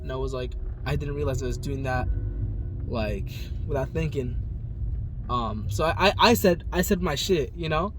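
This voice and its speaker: A man's voice: medium pitch, moderate pace, music in the background. A young man vlogging in a loose, rambling way, swearing freely and filling gaps with likes and ums. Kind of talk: casual vlog chat. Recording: noisy background ambience.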